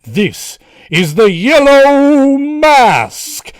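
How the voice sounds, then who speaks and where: An actor playing a menacing masked criminal mastermind in a 1940s-style radio serial, delivering gloating, theatrical threats. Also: male voice, very high-pitched, big pitch swings, very slow, no echo, clean studio sound, heavily acted. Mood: gloating menace. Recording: live home-streamed radio play.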